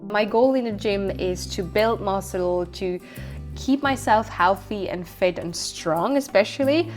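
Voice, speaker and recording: Young swiss woman, swiss german accent, soft reflective vlog voice, english not her first language. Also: medium pitch, a little slow, soft background music. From Switzerland. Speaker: lifestyle vlogger. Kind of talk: scripted personal introduction.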